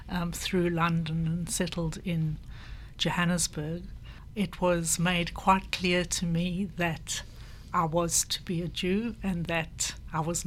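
Older woman, slow and low. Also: slight background noise, poor audio. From South Africa. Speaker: panel guest. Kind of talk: personal recollection in conversation.

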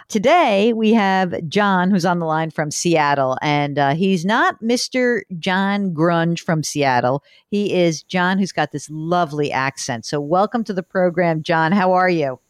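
A woman from New York hosting a podcast in casual chat, animated, wry and self-deprecating. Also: lowish voice, measured pace, dry recording, studio quality. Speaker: podcast host. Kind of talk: casual chat, audience address.

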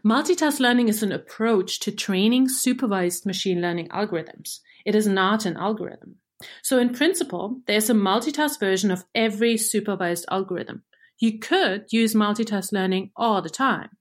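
A research engineer from Germany, a native German speaker, explaining machine learning in a clear, measured scripted segment. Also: female voice, medium pitch.

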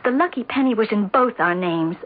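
An actress playing an anxious, insistent, sensitive wife in a radio drama, hesitant and pleading. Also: female voice, mid-range voice, expressive, brisk, natural voice. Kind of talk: 1970s radio drama acting and narration. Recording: dull old radio broadcast, music behind.

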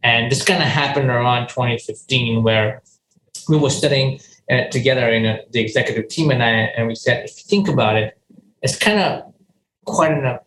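A man from Ghana speaks in a mid-pitched voice, explaining his work in an earnest, conversational way. He often restarts phrases and leans on "you know". The room around him sounds echoey, with a faint hum in the background. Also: a bit bright. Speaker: company founder and CEO. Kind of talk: conversational interview answers.

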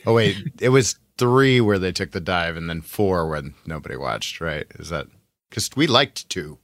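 A man's voice: low voice, animated, normal speed, fairly bright tone, dry recording. A man co-hosting a podcast with casual banter and wry, sarcastic joking. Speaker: podcast co-host.

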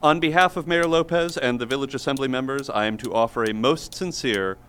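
A man's voice: medium pitch, fairly quick, a bit bright, a little hiss or hum, lightly acted. A man playing an officious, awkward and folksy mayor's assistant in an audio drama, with a chirpy salesman-like patter. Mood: cheerful, officious. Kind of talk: fiction podcast acting.

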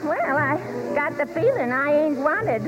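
An actress playing a wry, plaintive former performer in a 1950s radio drama with loud music. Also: female voice, high voice, sing-song, measured pace, lo-fi sound.

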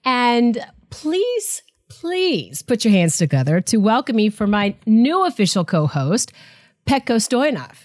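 A woman hosting a podcast, interviewing her new co-host in a lively, laughing manner. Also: fairly high pitch, unhurried.

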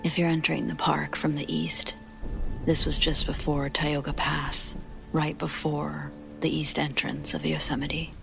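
Creaky, near-whispering woman narrating horror. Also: low voice, little pitch movement, a little slow. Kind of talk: scripted first-person horror story narration. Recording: music and rain behind voice. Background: music and rain.

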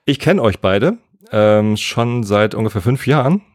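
A man, a podcast guest chatting in a relaxed, rambling way full of fillers. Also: on the low side, unhurried, no room sound, studio quality. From Hamburg area, northern Germany. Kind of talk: casual podcast chat.

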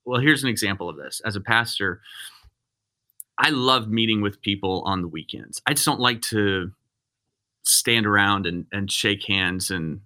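A man's voice: fairly low pitch, moderate pace, pushed and bright. A middle-aged man, a pastor, talking casually and rambling with many restarts. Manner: rambling, self-interrupting, frequent restarts. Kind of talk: casual podcast conversation.

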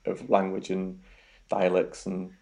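A man's voice: sits low, monotone, measured pace, gravelly, dark tone. A young man with a Yorkshire accent, a researcher answering interview questions in a hesitant way, full of ums and repeated words. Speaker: robotics researcher guest.

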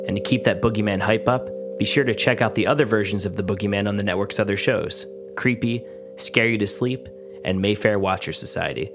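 A man hosting a podcast, reading a scripted outro with promotional announcements. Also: on the low side. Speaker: podcast host. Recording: clear music bed under the voice.

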